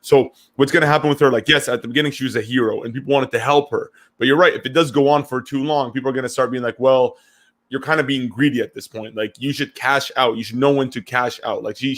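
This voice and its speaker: A young man from Syria co-hosting a casual podcast chat, talking in quick bursts with lots of stammered restarts. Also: mid-range voice, fairly even intonation, a bit bright. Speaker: podcast co-host.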